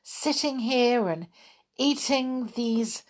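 A woman's voice: fairly high pitch, extremely slow, voice pushed up. A woman doing a gushing young girl. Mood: happy.

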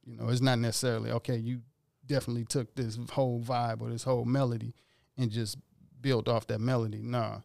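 Casting: relaxed, slangy male musician, gravelly edge, close dry sound. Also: mid-range voice, brisk, hardly any pauses, no echo. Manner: laid-back, rambling, slangy. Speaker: musician guest. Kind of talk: casual interview talk.

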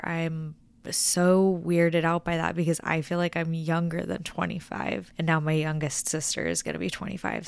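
A woman's voice: lowish voice, clear, resonant tone, dry recording. A woman in her twenties hosting a casual solo podcast, chatty and rambling with lots of filler words. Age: 20s. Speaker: podcast host.

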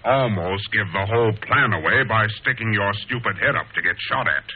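An actor playing a scornful, grandiose criminal mastermind in a 1940s radio serial, with theatrical delivery. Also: male voice, on the low side, lively intonation, fairly quick. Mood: scornful, scheming. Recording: tinny, hissy old radio broadcast.

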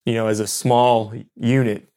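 A man's voice: mid-range voice, level tone, unhurried, mellow. A man hosting a casual interview, asking long, knowledgeable questions about military life. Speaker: podcast host.

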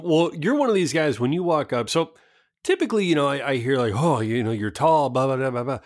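A man's voice: mid-range voice, expressive, fairly quick, dry recording, clean studio sound. A man in casual conversation, joking bluntly and swearing freely. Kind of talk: casual chat.

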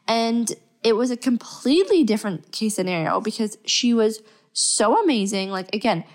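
A young woman hosting her podcast, chatting casually while sick and stuffy, upbeat and full of fillers. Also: medium pitch. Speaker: podcast host. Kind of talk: casual solo podcast talk.

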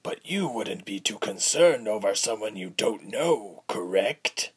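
A man voicing a sinister commander in an audiobook, coarse and breathy, speaking slowly with quiet, cold derision. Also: on the low side, expressive. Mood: mocking, threatening. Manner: slow, drawling, quiet and derisive. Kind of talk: audiobook character voice.

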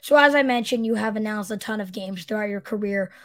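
A woman with a medium-pitched voice hosting a podcast. She asks interview questions in a casual, conversational style, recorded close and dry with very little room sound. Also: a little fast, fairly bright tone, no room sound.

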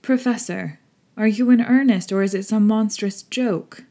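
A man's voice: sits high, moderate pace, dark tone, pitched above the performer's own voice. An indignant young lord, played by a woman narrator, proud and full of grief. He speaks with passionate dignity, in the manner of a dramatic audiobook. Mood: incredulous, proud. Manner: impassioned, dignified. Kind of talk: audiobook character acting.

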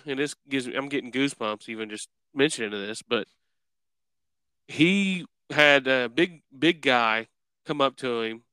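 Bright, clear male podcast interviewer. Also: medium pitch, no room sound. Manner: rambling, circles around his question. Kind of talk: casual interview chat.

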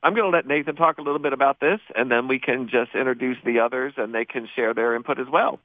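Man, American accent, medium pitch, casual teacher-guest talk, narrow phone sound, no room echo. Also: quick pace. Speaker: theatre teacher, interview guest. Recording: narrow, tinny phone line.

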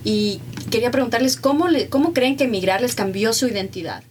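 A woman's voice: fairly high pitch, lively intonation, fairly quick, darkish tone, distant mic. Woman host from Ecuador, thoughtful, a bit off-mic with faint background noise. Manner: thoughtful, asks open questions. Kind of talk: casual conversation.

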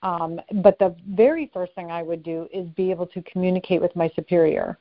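A woman's voice: on the low side, a little fast. A woman being interviewed as a personal development expert, giving earnest, direct advice. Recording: slightly dull, lo-fi audio.

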